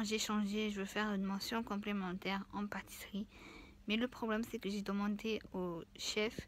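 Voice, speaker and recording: A woman's voice: medium pitch, slight background noise. A woman in her twenties with the flu and a stuffy nose, chatting casually in a vlog and rambling with many fillers. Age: 20s. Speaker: vlogger. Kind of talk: casual chat.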